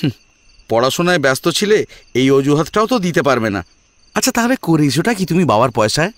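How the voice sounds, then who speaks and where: A man's voice: on the high side, sing-song, a little fast, no echo, voice pushed up. A man playing an exasperated, demanding young husband who nags indignantly in an audio drama. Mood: reproachful. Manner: indignant, nagging.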